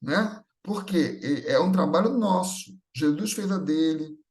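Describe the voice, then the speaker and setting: A man's voice: fairly high pitch, measured pace, darkish tone. A man with a Brazilian accent giving a conversational spiritual talk, teaching with repeated phrases, rhetorical questions and check-in tags. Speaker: speaker at a Spiritist gathering.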